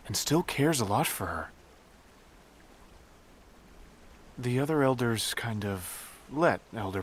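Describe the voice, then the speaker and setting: A man playing an earnest, thoughtful sect disciple who recounts old tales in a measured, dramatic way for a video game. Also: on the low side, fairly bright tone, slight background noise, heavily acted. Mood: resigned. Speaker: non-player character giving backstory. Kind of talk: expressive storytelling in video game dialogue.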